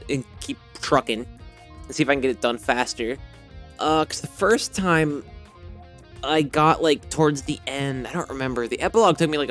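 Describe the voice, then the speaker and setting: Slightly hoarse streamer man, rambling. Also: mid-range voice, a bit bright. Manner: rambling, self-correcting, heavy fillers. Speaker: game streamer. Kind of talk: reading game text aloud, then casual rambling chat.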